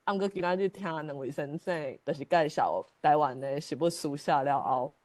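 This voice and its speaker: A man's voice: fairly high pitch, moderate pace, dry recording. A man with a Taiwanese accent hosting an online forum and sharing personal thoughts in a reflective, conversational manner. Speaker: forum host. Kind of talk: casual personal sharing while moderating. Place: online meeting.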